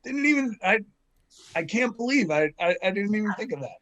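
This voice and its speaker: A man's voice: high-pitched, lively intonation, brisk, a bit bright. A man hosting a casual group discussion, telling a long joke with many restarts. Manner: rambling storyteller with restarts. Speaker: podcast host.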